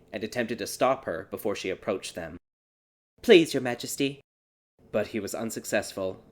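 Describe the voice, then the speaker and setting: Low-voiced man narrating a dark tale. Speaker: audiobook narrator. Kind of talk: dramatic scripted fairy tale narration. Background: music.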